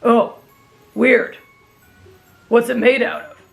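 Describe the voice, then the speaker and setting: A woman's voice: fairly high pitch, level tone, takes it slowly, creaky. Woman as a wild brash anime teen boy, blunt n demanding, bit of music behind. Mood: blunt, puzzled. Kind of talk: anime fan audio roleplay acting.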